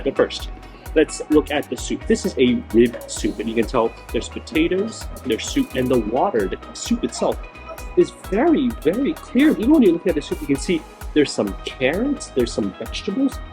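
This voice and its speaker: A man hosting a travel food vlog, a little hoarse and raspy from feeling unwell, talking enthusiastically and repeating words for emphasis. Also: mid-range voice, a little mellow. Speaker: food vlogger host. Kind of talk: casual travel vlog narration. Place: outdoors in a small-town plaza, then a tiny eatery.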